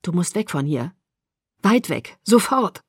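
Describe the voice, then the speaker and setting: A woman's voice: on the low side, sing-song, a little slow, husky, dry recording, studio quality, heavily acted. A woman voicing an intense young male vampire who speaks in urgent, clipped commands in an audiobook. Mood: grim command.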